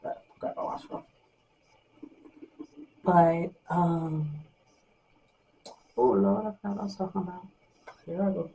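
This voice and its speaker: A young woman vlogger in a small room at home, talking softly and rambling to the camera at night, sometimes losing her train of thought. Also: steady tone, unhurried, gravelly, long pauses, poor audio. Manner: soft and low-voiced at night. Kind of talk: casual late-night chat to camera.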